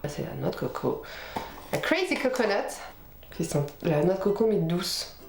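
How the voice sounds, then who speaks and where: A woman's voice: fairly low pitch, sing-song, normal speed, gravelly. A woman with a head cold chatting informally through a casual makeup tutorial as a beauty vlogger, talking while she works. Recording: roomy echo with music behind.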